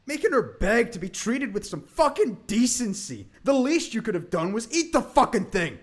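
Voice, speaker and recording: A man's voice: extremely high pitch, sing-song, moderate pace. A man voicing an angry, guilt-ridden, foul-mouthed teenage boy in an audio drama. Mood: furious, self-loathing. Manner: profane, bitter, exasperated.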